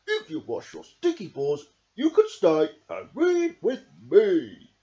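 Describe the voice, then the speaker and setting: A man's voice: sits high, huge pitch swings, slow pace, dark tone, pitched above the performer's own voice, exaggerated voice. A man voicing a grumpy big male bear in a storybook, blustering and grumbling, in a dull lo-fi recording.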